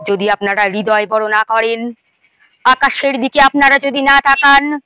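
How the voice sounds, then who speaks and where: A woman recites dramatic verse in a high voice. Her delivery is slow and impassioned, with emphatic phrases and deliberate pauses. The recording is dry and close, with very little room sound. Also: little pitch movement, sharp tone, smooth, no echo, rough recording. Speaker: poetry reciter.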